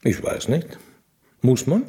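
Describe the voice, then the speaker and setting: An actor playing an eccentric, fearful, brooding genius logician in a radio drama, husky, with a musing, earnest and odd manner. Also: male voice, takes it slowly, warm tone, close to an everyday voice. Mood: musing, dreamy.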